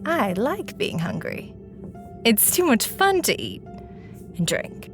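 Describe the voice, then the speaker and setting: A woman's voice: a little high, wildly swooping pitch, slow pace, over music, full character voice. An actress playing a curious, playful, slightly squeamish young woman in an audio drama, casual and inquisitive. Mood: playfully squeamish.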